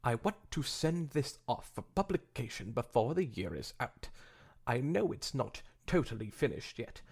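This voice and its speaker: Give this to a man acting as an eager, self-consciously refined female pony writer in a fan fiction reading. The delivery is lively and expository, with a gravelly, husky edge and an affected air of sophistication. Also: medium pitch, full character voice.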